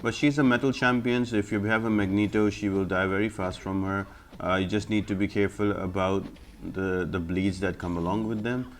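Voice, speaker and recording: A man's voice: fairly low pitch, fairly even intonation, fairly quick, a little hiss or hum. A man giving explanatory gameplay commentary as a guide host, in an instructional, step-by-step manner.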